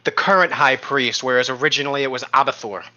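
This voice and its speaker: A man's voice: mid-range voice, fairly even intonation, fast talker, pushed and bright, highs cut off, soft background music. A man running a tabletop game as game master, casually narrating and recapping the story with some swearing.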